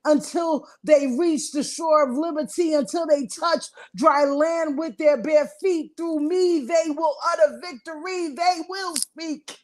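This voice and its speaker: Woman poet performing spoken word; high pitch, slow deliberate pacing, rising passion. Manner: slow, deliberate delivery building to impassioned cries.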